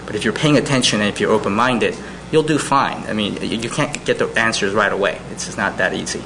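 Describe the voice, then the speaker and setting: A man's voice: lowish voice, fast talker, gravelly, noisy background. A man speaking on a business panel who often repeats words as he starts sentences. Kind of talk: panel discussion.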